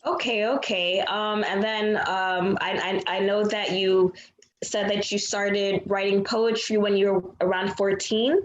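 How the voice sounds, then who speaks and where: A woman's voice: mid-range voice, steady tone, measured pace, muffled recording. A woman interviewer chatting casually and encouragingly, recorded in an echoey room with faint noise. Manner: encouraging, affirming.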